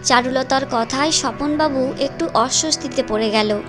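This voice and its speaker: A woman's voice: high voice, normal speed. A woman reading scripted storytelling narration for a moral cartoon. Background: music.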